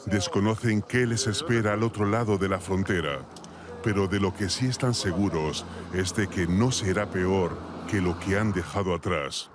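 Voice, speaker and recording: Deep-voiced male news narrator. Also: background noise. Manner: measured, formal. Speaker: reporter.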